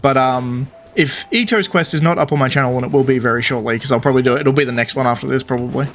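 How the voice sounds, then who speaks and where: A man's voice: medium pitch, fast talker, fairly bright tone, a little hiss or hum. A man hosting a game playthrough, chatting casually in a rambling, laid-back way. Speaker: gaming video host.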